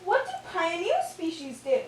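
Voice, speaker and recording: Puppet-show schoolteacher lady, played by a woman: extremely high, animated, question-prompting, captured far from the mic in an echoey room. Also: normal speed, exaggerated voice. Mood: instructive. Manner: praising, question-prompting classroom delivery. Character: chirpy puppet schoolteacher lady leading a science class. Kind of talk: amateur scripted puppet show. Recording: echoey room, distant mic, faint noise.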